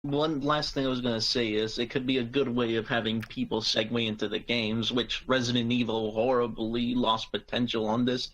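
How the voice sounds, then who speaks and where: A man's voice: mid-range voice, level tone, moderate pace, hardly any pauses, fairly bright tone, highs cut off. A man speaking as a panelist in a casual group discussion. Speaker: podcast panelist.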